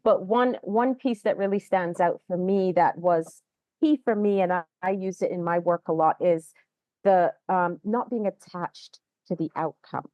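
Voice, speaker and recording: Woman podcast host, lowish voice, affirming and reflective, dry close mic. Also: measured pace, no echo, highs cut off. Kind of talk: podcast conversation.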